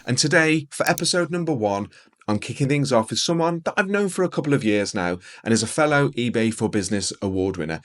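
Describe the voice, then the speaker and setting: A man's voice: medium pitch, expressive, quick pace. A man in his forties hosting a podcast, upbeat and welcoming as he talks with his guest. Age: 40s. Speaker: podcast host. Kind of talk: podcast interview.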